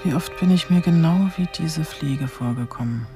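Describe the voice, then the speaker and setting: A woman's voice: deep voice, moderate pace, over music, lightly acted. An actress playing a middle-aged female chief inspector, brooding, brusque and determined, in a German radio crime drama. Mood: pensive.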